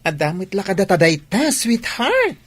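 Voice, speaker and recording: A caring young newlywed, voiced by a woman, dotes on the household in a village radio drama. She has an Ilocano accent and a medium-pitched voice, sweet and dutiful in manner. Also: huge pitch swings, moderate pace, husky. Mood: doting.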